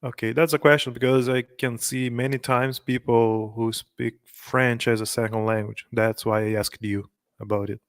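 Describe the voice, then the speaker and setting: A Brazilian man with a Brazilian Portuguese accent hosting a casual live stream, chatty and laughing often, a little halting when he speaks English. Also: medium pitch, little pitch movement, clear, resonant tone, no room sound, clean studio sound. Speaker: host practicing English with strangers.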